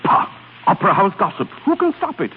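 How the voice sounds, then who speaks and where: Condescending upper-class philanderer, vintage radio melodrama, wildly swinging intonation, thin old-broadcast sound. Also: male voice, high-pitched, huge pitch swings, brisk. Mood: placating. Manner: suave, condescending, theatrical. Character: smooth, evasive aristocratic philandering suitor. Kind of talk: 1930s radio acting. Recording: muffled, noisy 1930s radio recording.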